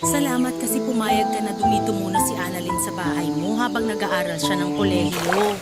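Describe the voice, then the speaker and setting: Loud music plays beneath a woman acting as a middle-aged mother. She is motherly and grateful, heartfelt in the manner of radio drama, at a middling pitch. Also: a little fast, lightly acted. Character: grateful, gently admonishing middle-aged mother of several children.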